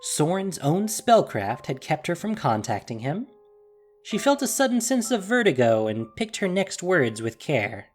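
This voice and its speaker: Lady narrating an epic story, very dramatic, pitch swoops around, serious, music behind. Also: big pitch swings, a little slow.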